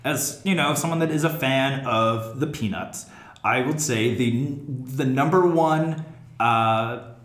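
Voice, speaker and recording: A man hosting a casual theatre podcast discussion, speaking analytically and with opinions, posing questions to the group. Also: mid-range voice. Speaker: podcast host. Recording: echoey, reverberant room.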